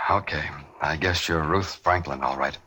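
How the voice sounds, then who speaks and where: An actor playing a weary, skeptical police captain, terse and dry, in 1940s radio drama style. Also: male voice, very deep voice, a little slow, pushed and bright, slightly rough, full character voice. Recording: old radio broadcast, faint noise.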